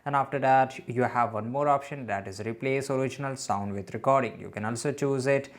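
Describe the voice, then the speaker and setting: A man's voice: mid-range voice, fairly quick. Man narrating a casual step-by-step tutorial. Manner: often tags sentences with "right?". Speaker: tutorial host.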